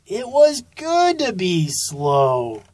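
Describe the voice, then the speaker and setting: A woman narrating a children's story expressively and deliberately, drawing out key phrases, recorded in a studio. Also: mid-range voice, huge pitch swings, dark tone, no echo. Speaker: author reading own children's book.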